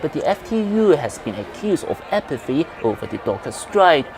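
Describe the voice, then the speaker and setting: Lively male news correspondent, faint background noise. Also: on the high side, moderate pace. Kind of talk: scripted news report narration.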